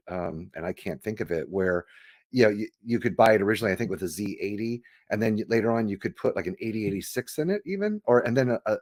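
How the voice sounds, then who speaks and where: A man co-hosting a casual chat about computer history, haltingly thinking out loud as he goes. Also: on the low side. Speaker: podcast co-host.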